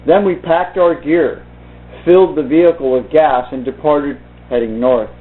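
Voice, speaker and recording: A man reading aloud and commenting informally in a halting, deliberate way. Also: fairly high pitch. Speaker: video host reading from a book. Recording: muffled phone-like narrow-band sound.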